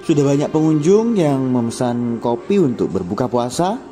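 A man's voice: medium pitch, sing-song, normal speed. A man, chatty and upbeat, narrating casually as he walks through a busy food court and cafe, filmed on a handheld camera. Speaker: vlogger touring a food court. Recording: loud background music over the voice. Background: loud music, crowd chatter.

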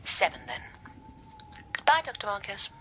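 An actress playing a brisk, probing, coolly polite woman scientist in a radio drama, heard through a telephone. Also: mid-range voice, wildly swooping pitch, pushed and bright. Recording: telephone line with clear background noise.

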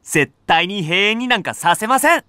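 A man's voice: high-pitched, lively intonation, normal speed, pushed and bright, clean tone, no room sound. A man voicing an earnest young rookie nursery teacher in a voice drama, polite and enthusiastic. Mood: eager. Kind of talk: Japanese voice drama acting.

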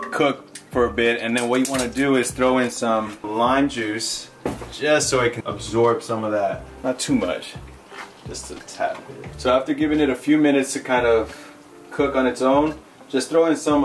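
A young man from the Bronx with a New York accent, casually explaining a recipe step by step in a home kitchen. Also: medium pitch. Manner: relaxed, laughs often, explains step by step. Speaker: cook showing a recipe. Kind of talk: casual cooking demonstration.

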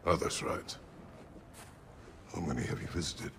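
An actor playing a stern, gruff warrior father in a game cutscene, gravelly and terse, giving short commands and questions. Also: a little slow, slight background noise, lightly acted. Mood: wary, questioning. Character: stern, gruff, battle-worn warrior father, a man of few words. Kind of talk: video game cutscene acting.

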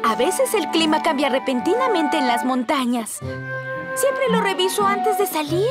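A woman's voice: very high-pitched, very animated, moderate pace, over loud music. An actress voicing a cheerful, capable young woman firefighter in a children's cartoon dub, in Latin American Spanish. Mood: earnest, chatty. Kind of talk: animated children's show dub.

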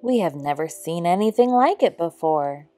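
A woman's voice: fairly low pitch, lively intonation, normal speed, dark tone, soft background music, lightly acted. A woman voicing small forest animals in a children's storybook, earnest and plain-spoken. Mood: apologetic. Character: accusing, later apologetic small forest animals. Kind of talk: storybook character voice.

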